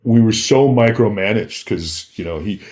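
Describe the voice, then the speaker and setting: Low-voiced man telling stories casually. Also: lively intonation, moderate pace, darkish tone. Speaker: interview guest, former bodyguard. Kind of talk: casual anecdotal chat.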